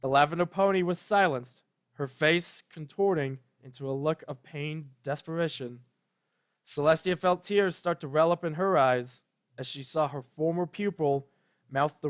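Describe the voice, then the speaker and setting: A storyteller gives a scripted, dramatic narration. The delivery is slow and solemn with measured pauses, in a medium-pitched voice, recorded dry. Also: no echo. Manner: measured, solemn, pausing between phrases.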